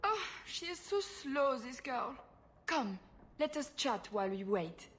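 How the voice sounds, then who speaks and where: High, fluttery French-accented noblewoman. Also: very animated, a little slow, a bit bright, slight background noise, heavily acted. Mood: gushing. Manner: gushing, fluttery. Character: flighty, fussy noblewoman and doting mother. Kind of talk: video game cutscene acting.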